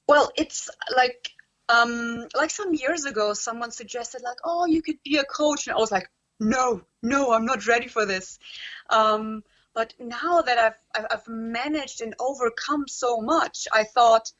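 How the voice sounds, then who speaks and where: A woman's voice: a little high, a little slow, a bit bright, muffled recording. A young woman who grew up in Germany, speaking English as a guest coach in a casual interview, earnest and full of "um" and "like". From born in Romania, raised in Germany. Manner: earnest, many "um" and "like" fillers. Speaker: guest, personal development coach.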